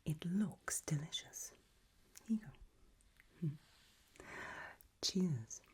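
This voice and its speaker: A woman playing an elegant, doting gothic lady hostess in a whispered ASMR roleplay, with a soft breath and gracious, languid manner. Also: sits low, animated, drawn-out words, slightly rough, heavily acted.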